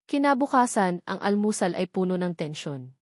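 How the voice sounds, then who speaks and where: A woman's voice: lowish voice, very animated, moderate pace, a little mellow, no room sound, clean studio sound. A woman reads a scripted romance story as narrator, in a dramatic, emphatic storytelling manner.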